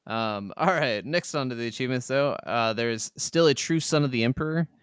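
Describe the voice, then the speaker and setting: A man's voice: mid-range voice, a little fast, clear, resonant tone, no room sound, clean studio sound. A man co-hosting a podcast, talking casually and informally about video games. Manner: informal, swearing. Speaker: podcast co-host. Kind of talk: casual video game chat.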